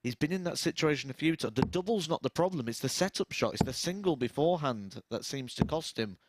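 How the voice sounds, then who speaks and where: A man gives eager, opinionated co-commentary on a darts match in a middle-range voice. Clear background noise runs through the live feed behind him. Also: a little high, lively intonation, fairly quick. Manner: enthusiastic opinionated analysis.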